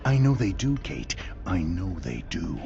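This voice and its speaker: A slick, self-important TV propaganda anchor in a game. His delivery is animated and emphatic, slightly muffled, with faint music underneath. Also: low-pitched, a little slow, gravelly, heavily acted. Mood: smug. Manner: pompous, emphatic. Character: pompous, slick male propaganda TV news anchor. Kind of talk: bombastic news broadcast.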